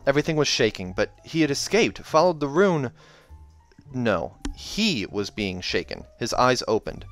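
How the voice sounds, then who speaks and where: A man's voice: mid-range voice, very animated, moderate pace. A man hosting a read-along, narrating a novella expressively with casual asides. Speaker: host reading aloud and reacting. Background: video game soundtrack music.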